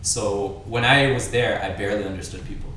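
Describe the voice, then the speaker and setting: A young man from Austria in his twenties, hosting a casual podcast chat in a relaxed, laid-back way. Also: lowish voice, a little fast, far from the mic, slight background noise. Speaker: podcast host. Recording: very echoey room, off-mic.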